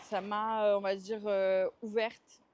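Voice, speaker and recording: A woman's voice: mid-range voice, level tone, slow pace, darkish tone, smooth. A woman in her twenties from Marseille with a Marseille accent, answering interview questions while walking, hesitant with many fillers. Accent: Marseille French. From France. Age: 20s.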